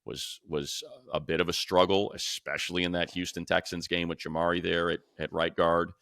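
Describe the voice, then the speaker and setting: A man's voice: low voice, flat delivery, normal speed, gravelly, a bit bright, dry recording, clean studio sound. A man hosting a sports talk show, casually analysing in an emphatic, conversational way and repeating points for stress.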